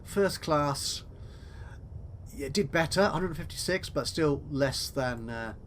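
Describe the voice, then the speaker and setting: A man's voice: fairly high pitch, animated, slow. Man chatting over a game, some noise. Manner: chatty, thinking aloud, talking to himself. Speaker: gaming video host. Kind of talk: casual let's play commentary.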